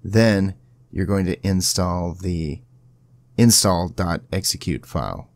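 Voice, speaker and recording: A man presenting a casual step-by-step software tutorial in an informal, instructional way. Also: low voice, slow, no room sound, clean studio sound.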